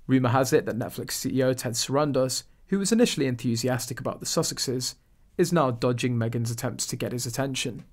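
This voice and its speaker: A man's voice: mid-range voice, a little fast, darkish tone. A man reading a scripted voice-over for a gossip channel in a snide, mocking tone.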